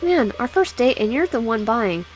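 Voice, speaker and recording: A woman's voice: on the high side, sing-song, normal speed, soft background music, rough recording. A woman voicing a shy, self-deprecating young man in a game read-aloud, talking hesitantly and sheepishly.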